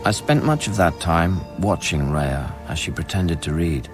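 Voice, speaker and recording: A haunted scientist narrating a radio drama in a very low voice. He speaks in measured, inward-looking, literary phrases as he recounts life on an alien world. Music plays clearly behind him. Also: expressive. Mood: brooding, awed.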